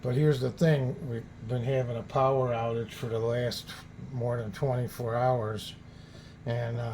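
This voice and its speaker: A man's voice: medium pitch, a little mellow. A man hosting a hobby video, chatting casually and informally to his viewers. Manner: informal, folksy, addressing viewers as guys. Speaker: host of a radio hobby video channel. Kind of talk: casual vlog demonstration chat. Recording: faint background noise.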